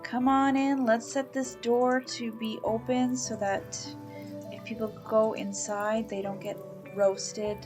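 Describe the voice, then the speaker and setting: Slow, chatty woman gamer from Canada. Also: a little high, flat delivery, over music. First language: English. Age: middle-aged. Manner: chatty, thinks aloud, coos at baby animals. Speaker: let's play host. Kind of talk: casual gameplay commentary.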